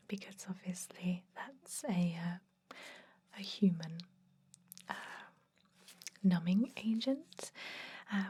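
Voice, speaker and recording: A woman playing a gentle, chatty vampire dentist in an ASMR roleplay, whispering slowly and breathily to soothe and confide. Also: mid-range voice, husky. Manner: slow, soothing, hesitant, confiding.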